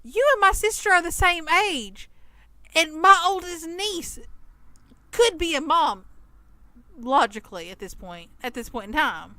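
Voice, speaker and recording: A woman's voice: extremely high pitch, lively intonation, measured pace, sharp tone, no echo. A woman in her thirties co-hosting a podcast, telling a story in casual chat and acting out the people she quotes. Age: 30s. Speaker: podcast co-host.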